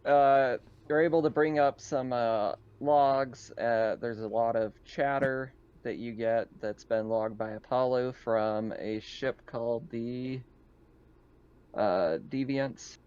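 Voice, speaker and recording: A man narrating a tabletop game as game master, feeling out his phrasing haltingly as he improvises. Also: medium pitch, darkish tone, clear, resonant tone, highs cut off.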